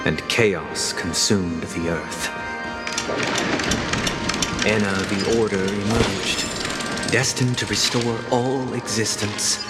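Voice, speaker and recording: Courteous game villain, lowish voice, slow, grandiose, solemn stage narration. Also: male voice. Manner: formal, measured, grandiose. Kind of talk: video game voice acting, theatrical narration. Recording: echoing hall, loud music behind.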